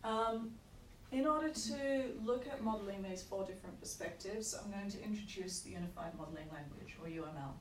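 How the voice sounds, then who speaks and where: A woman lecturer giving a university lecture with a croaky voice, explaining at a measured pace with frequent checks like "okay". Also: mid-range voice. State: croaky, recovering from lost voice. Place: lecture hall. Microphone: room recording from far off. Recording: echoey hall, distant mic, noisy.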